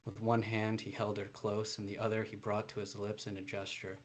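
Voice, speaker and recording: A man's voice: on the low side, completely flat delivery, fairly quick. A man, an author speaking and reading his own story aloud. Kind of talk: reading a prose passage aloud, with some informal introduction.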